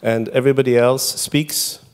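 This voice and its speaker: A man's voice: medium pitch, steady tone, moderate pace, smooth. A middle-aged man whose first language is Hebrew, giving a chatty, self-deprecating conference talk to an audience, full of fillers and restarts. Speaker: conference speaker. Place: conference hall before an audience.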